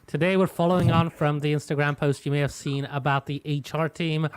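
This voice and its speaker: Guy hosting a work live stream, smooth clear voice, dry sound, chatty and laughs a lot. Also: a little high, brisk, no echo. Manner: relaxed, chatty, laughs easily. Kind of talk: casual company live stream.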